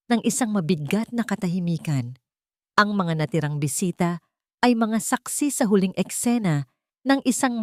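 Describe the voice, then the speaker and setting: A woman's voice: fairly low pitch, no echo, studio quality. A woman reading a scripted dramatic story as its narrator.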